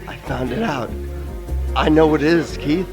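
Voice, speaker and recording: A man playing an excitable party guest in a radio play, exclaiming in drawn-out words. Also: a little high, close to an everyday voice. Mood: excited, gleeful. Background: loud music.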